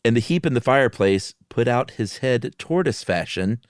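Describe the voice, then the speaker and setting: A man's voice: mid-range voice, lively intonation, moderate pace, no echo, studio quality. A man hosting and reading a horror story dramatically, with casual asides. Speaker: podcast host and story reader. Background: music.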